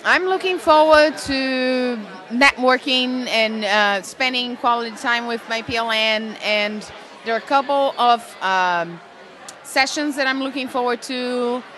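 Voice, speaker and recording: A woman from Brazil, answering interview questions enthusiastically at a teaching conference and pausing often on "uh". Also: on the high side, a bit bright, faint music behind. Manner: enthusiastic, with frequent "uh" pauses. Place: busy conference venue.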